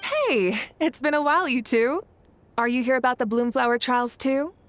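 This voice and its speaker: A woman's voice: high voice, animated, moderate pace, slight background noise. A woman playing a confident, reassuring leader in a video game, composed and assured. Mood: warm, nostalgic. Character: confident adult female leader, calm, reassuring protector of her nation.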